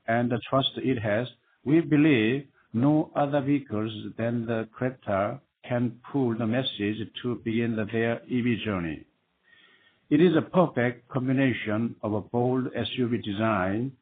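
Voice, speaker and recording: A man speaking as a managing director, giving formal, scripted earnings call remarks in halting phrases. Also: medium pitch. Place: conference call. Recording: tinny phone line with clear noise.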